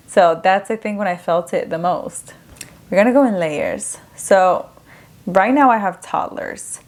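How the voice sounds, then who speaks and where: Young woman, from Ecuador, podcast host, casual candid talk, lowish pitch. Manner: chatty, candid, with frequent fillers.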